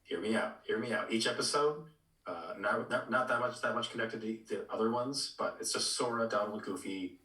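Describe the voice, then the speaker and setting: A man chatting casually as a podcast co-host, halting and repeating words as he works out what to say. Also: fairly low pitch, pushed and bright, recorded from a distance. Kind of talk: casual chat, pitching ideas. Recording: roomy, echoey, away from the mic.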